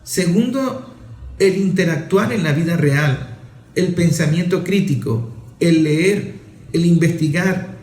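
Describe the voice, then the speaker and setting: A man's voice: fairly high pitch, slow pace, darkish tone, reverberant. A man giving an emphatic opinion monologue, listing his points and asking rhetorical questions.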